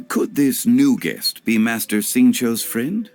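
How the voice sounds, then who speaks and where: A man voicing a refined, courteous consultant in a game, measured, formal and composed. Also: medium pitch. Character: refined male funeral consultant, calm and courteous. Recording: game cutscene with soft music behind.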